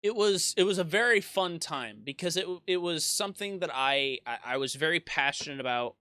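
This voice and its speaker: A man's voice: fairly high pitch, animated, moderate pace, bright, forceful tone, clean tone, no echo. A man in his twenties, a YouTube gaming creator, reflecting in a casual monologue that rambles with frequent restarts and self-deprecating asides. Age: 20s.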